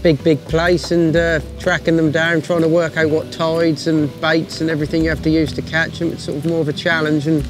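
A man's voice: fairly high pitch, flat delivery, a little fast, hardly any pauses. A young man, talking informally and plainly as a sea angler in a documentary-style piece. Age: young adult. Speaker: sea angler and crab fisherman. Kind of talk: informal documentary-style talk to camera about fishing. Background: music.

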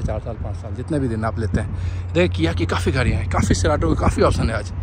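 A man's voice: fairly high pitch, noisy background. A man gives a casual, informative walk-around as a car vlogger, mixing in English words, recorded outdoors on a handheld phone. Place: outdoor used-car parking lot at night. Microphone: handheld phone, behind the camera.

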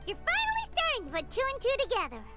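A woman voicing a tiny, perky, childlike fairy companion in a video game, squeaky and bubbly. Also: wildly swooping pitch, fast talker, exaggerated voice. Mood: chatty. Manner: bubbly, exclamatory, third-person self-reference. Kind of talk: cartoonish video game acting. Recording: game music behind.

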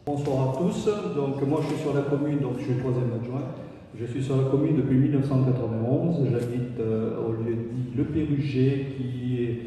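A man's voice: medium pitch, steady tone, moderate pace, warm tone, a little hiss or hum. A man introducing himself as deputy mayor and steadily listing his duties. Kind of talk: welcome speech. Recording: echoey hall from far off.

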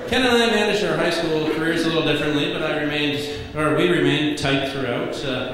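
A man in his thirties giving a best man's toast at a wedding reception, telling comic stories in a dry, deadpan way at a podium microphone. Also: mid-range voice, a little hiss or hum. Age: 30s. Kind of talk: wedding toast read from notes. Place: large banquet hall at a wedding reception. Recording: echoey banquet hall with reverb. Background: guests laughing.

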